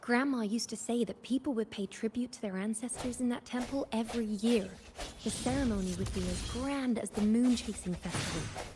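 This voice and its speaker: A woman's voice: on the high side, normal speed, a little mellow, a little hiss or hum, natural voice. A woman playing an earnest, wistful young woman who is grieving her grandmother in a video game. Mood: wistful, uncertain.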